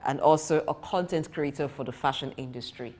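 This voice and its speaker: A woman's voice: low-pitched, fairly quick, a little mellow. A woman interviewing a guest for TV, probing and steering his answers back to her question.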